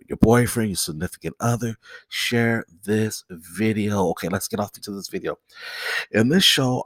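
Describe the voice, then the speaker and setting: A man's voice: mid-range voice, slightly rough, dry recording. A man hosting a casual solo podcast, nasal and stuffed up from a cold, rambling and repeating himself as he talks straight to his viewers. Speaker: podcast host.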